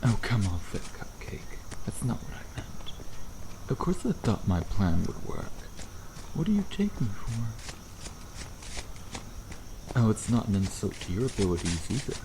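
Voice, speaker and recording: Man playing a low-voiced teasing vampire, crickets behind. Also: big pitch swings, normal speed. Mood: teasing, smug. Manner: sardonic, playful banter with pet-name teasing. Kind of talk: ASMR roleplay acting, addressing the listener. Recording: noisy outdoor ambience with crickets.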